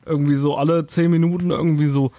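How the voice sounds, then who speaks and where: A man with a Saxon accent, co-hosting a podcast in casual, joking chat and often pausing with fillers. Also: on the high side, level tone, warm tone, no room sound. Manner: joking, halting with many fillers. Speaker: podcast co-host.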